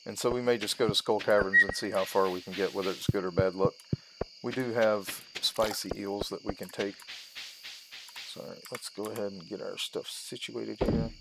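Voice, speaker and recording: A man's voice: lowish voice, steady tone, normal speed, creaky, very noisy. A man giving casual let's-play commentary, talking himself through each step in short, trailing phrases. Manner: narrates own steps aloud in short, trailing phrases. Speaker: gaming video host.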